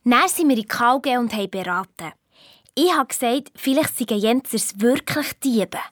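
A high, youthful girl's voice acted by a woman with a Swiss German accent. She is a spirited, quarrelsome kid detective who tells her adventure in everyday language, as in a children's audio drama. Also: normal speed, no room sound, clean studio sound, natural voice. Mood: eager storytelling. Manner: colloquial, animated storytelling with cheeky retorts. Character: spirited, bickering young girl detective telling her adventure.

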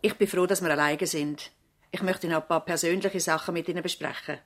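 A woman's voice: lowish voice, fairly even intonation, a little fast, a little hiss or hum, lightly acted. A woman acting the part of a devoted, worried village nurse in a 1970s radio drama, speaking earnestly and politely. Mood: grateful, earnest. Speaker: actor in a radio play.

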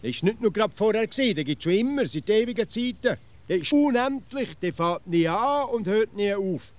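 A stubborn small-town Swiss man who fancies himself a philosopher. He explains insistently in a Swiss German accent, with a fairly high voice that swings up and down. It is a comic radio sketch. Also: lively intonation, normal speed. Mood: insistent explaining. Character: stubborn wily provincial Swiss male amateur philosopher.

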